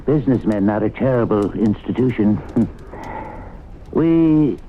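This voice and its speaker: A hard-bargaining businessman in an old radio play. He is deliberate, blunt and firmly controlled, and the recording is thin and muffled with faint hiss. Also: lowish voice, expressive. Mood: firm, hard-nosed. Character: hard-bargaining small-town businessman, cool and firm. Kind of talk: 1970s radio drama acting.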